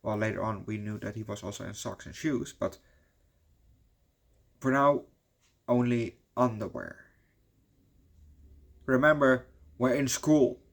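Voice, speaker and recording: A man's voice: medium pitch, a little slow, vocal fry, pauses often. Rambling Dutch-accented man reviewing a movie. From Netherlands. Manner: rambling, swears often, peppers talk with "you know".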